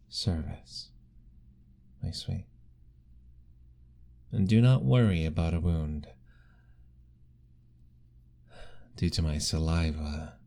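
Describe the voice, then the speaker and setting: A man doing a deep, courtly vampire lord. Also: full character voice. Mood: breathless, doting. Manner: formal, old-fashioned, gently pleading; soft, intimate, often whispered. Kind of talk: ASMR roleplay audio.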